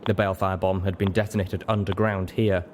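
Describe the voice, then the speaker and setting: A man's voice: sits low, flat delivery, a little fast, darkish tone, slight background noise. A man narrating a story in a dramatic audiobook style, as its reader.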